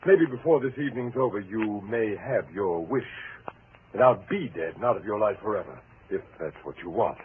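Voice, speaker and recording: An actor playing a bitter, obsessed, menacing husband in a theatrical, mock-polite style for a 1940s radio thriller. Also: male voice, lowish voice, expressive, moderate pace, close to an everyday voice. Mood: menacing, taunting. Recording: tinny, hissy 1940s radio recording.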